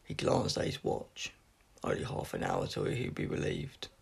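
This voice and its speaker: A man reading a science fiction story aloud as scripted narration, in a gravelly, husky voice with an unpolished, amateur delivery. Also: a bass voice, huge pitch swings, normal speed. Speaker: audiobook reader.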